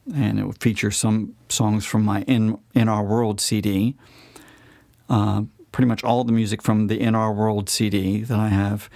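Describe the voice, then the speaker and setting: A man talking casually and reflectively about his own music as an interview guest, pausing mid-phrase to find his words. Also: fairly low pitch, darkish tone, no room sound.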